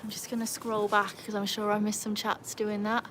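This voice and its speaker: A woman's voice: mid-range voice, completely flat delivery, moderate pace, background noise. Chatty English woman hosting a livestream. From England. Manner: chatty, laughs often, calls to her dogs. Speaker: livestream host. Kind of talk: casual livestream chat. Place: outdoors by a backyard pool.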